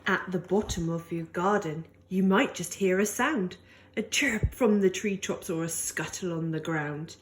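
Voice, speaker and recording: A woman reading a rhyming picture book aloud to young children in an expressive, sing-song way. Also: fairly low pitch, measured pace, a little hiss or hum. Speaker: story reader for pre-school children.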